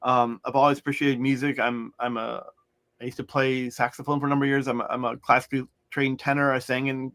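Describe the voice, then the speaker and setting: A man's voice: medium pitch, flat delivery, fast talker, fairly bright tone. A middle-aged man, an author, talking in a loose, rambling way with many restarts as an interview guest. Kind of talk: conversational interview.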